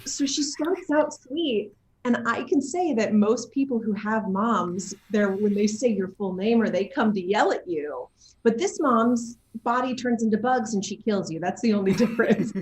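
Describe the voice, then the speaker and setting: A woman chatting casually as an interview guest, talking in an effusive, animated storytelling way. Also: on the high side, unhurried, slight background noise, rough recording. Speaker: interview guest, game voice and motion capture actor.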